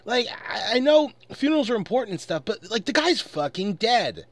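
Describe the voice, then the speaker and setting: A man's voice: high-pitched, big pitch swings, moderate pace, no room sound. A man delivering an angry, off-the-cuff rant in a profane, exasperated and emphatic way. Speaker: online commentator recording an audio log.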